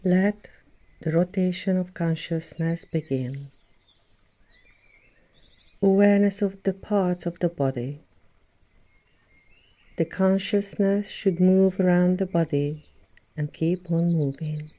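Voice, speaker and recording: A woman leading a guided yoga nidra meditation as an instructor, speaking in a soothing, gentle manner with long pauses between phrases. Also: on the low side. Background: birdsong and nature sounds.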